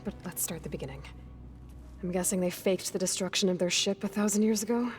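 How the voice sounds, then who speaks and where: An actress playing a determined young huntress who asks direct, probing questions in a game cutscene. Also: female voice, mid-range voice, brisk, darkish tone, faint music behind, close to an everyday voice. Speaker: game protagonist. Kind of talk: video game cutscene acting.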